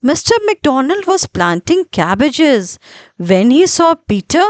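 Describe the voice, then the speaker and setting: A woman narrating a children's story in an expressive, deliberate storytelling manner with dramatic pauses. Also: high-pitched, dry recording.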